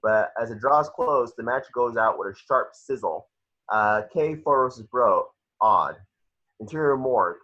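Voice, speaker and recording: A man's voice: mid-range voice, expressive, unhurried, pushed and bright. A man narrating scripted action descriptions in an amateur table read, on a lo-fi home recording.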